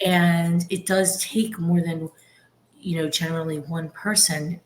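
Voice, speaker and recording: Woman, middle-aged, deep voice, soft and reflective, podcast host. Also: steady tone, measured pace, a little hiss or hum. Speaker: podcast host, real estate broker. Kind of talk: casual podcast conversation.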